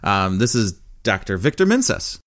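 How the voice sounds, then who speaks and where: Man, podcast co-host, low voice, joking, playful, casual. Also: wildly swooping pitch, normal speed, fairly bright tone. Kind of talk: casual chat. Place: home basement.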